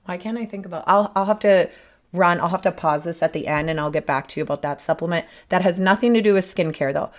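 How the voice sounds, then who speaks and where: Woman host, 30s, raspy and a little hoarse from a cold, lowish voice, chatty and self-interrupting solo podcast delivery. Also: fast. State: sick, a bit hoarse. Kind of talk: casual solo podcast talk.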